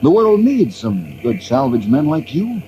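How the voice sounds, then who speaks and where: A man playing a steady, caring hero and concerned friend, earnest and reassuring, in old-time radio drama style. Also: medium pitch, measured pace, lo-fi sound. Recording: noisy old radio recording.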